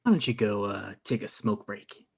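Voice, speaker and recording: A man's voice: on the low side, expressive, normal speed, vocal fry, darkish tone, slightly rough, heavily acted. A man playing a petty, defensive struggling writer in a comedy table read, with a hesitant manner.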